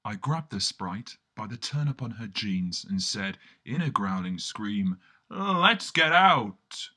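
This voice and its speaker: A man's voice: fairly low pitch, a little slow, pushed and bright. A man narrating a scripted first-person comic monologue, acting out the dialogue as he goes. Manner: performs the dialogue of the characters within the telling. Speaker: storyteller and narrator.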